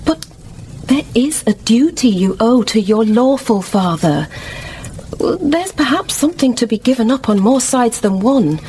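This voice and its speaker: A dutiful, gentle gentleman's wife in a radio drama. Her voice sits a little high, composed and consoling, with faint noise in the recording. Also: lively intonation, a little slow. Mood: kindly, persuading.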